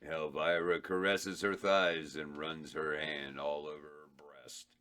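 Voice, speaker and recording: A man with a very low voice reads out stage directions at a script table read. He keeps a matter-of-fact tone, even through the bawdy parts. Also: measured pace. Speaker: narrator reading stage directions. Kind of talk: reading script directions aloud.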